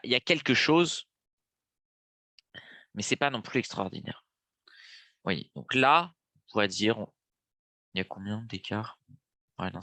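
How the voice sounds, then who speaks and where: A man's voice: fairly low pitch, pushed and bright, dry recording. A young man with a stuffy nose from a cold, casually walking viewers through a live trading lesson with lots of fillers. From France. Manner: casual, thinking aloud with frequent fillers. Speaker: trading webinar host.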